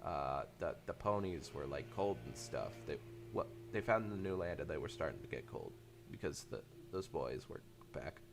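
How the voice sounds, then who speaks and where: A man's voice: very deep voice, animated, moderate pace, creaky, hardly any pauses, music in the background. A man improvising a comedic fandub, stammering and rambling as he ad-libs. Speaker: solo fan-dub performer improvising all voices. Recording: faint background noise.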